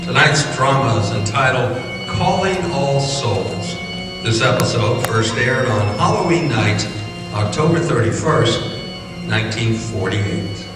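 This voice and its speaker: A man's voice: mid-range voice, lively intonation, measured pace. A man announcing an old-time radio drama in a scripted introduction. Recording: echoey hall, distant, loud music behind.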